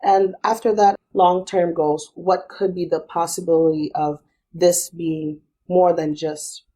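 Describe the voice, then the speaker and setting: A woman's voice: lowish voice, fairly even intonation, unhurried, mellow, husky. A young adult woman and business founder, a podcast guest, explaining things step by step in a thoughtful, casual way. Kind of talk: casual interview.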